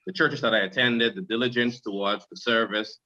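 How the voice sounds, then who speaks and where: Man on a panel, lively storyteller, bright tone, kinda dull audio. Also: mid-range voice, a little fast. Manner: animated storyteller, laughs, repeats words. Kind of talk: panel discussion.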